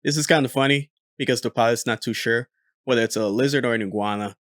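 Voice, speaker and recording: A man's voice: medium pitch, fast, dry recording. A man, a former military air traffic controller, hosting casual commentary on a recorded radio exchange, talking easily with many fillers. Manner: relaxed, frequent filler "uh".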